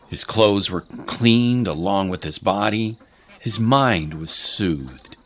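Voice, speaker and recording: A man narrating a scripted story in a measured, literary storytelling manner. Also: low-pitched, a bit bright, slight background noise.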